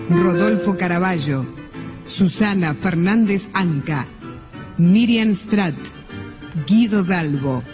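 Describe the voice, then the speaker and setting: A man with an Argentine accent formally announces a radio theatre broadcast in a stately, declamatory way. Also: high voice. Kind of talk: formal announcement of title and cast. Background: music.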